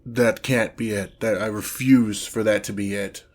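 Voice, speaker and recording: Demon lord, played by a man for fantasy ASMR roleplay: ancient, imperious, menacing, low-pitched, very slow and theatrical. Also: fairly even intonation. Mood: incredulous, exasperated. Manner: pompous, theatrical, deliberate drawn-out delivery.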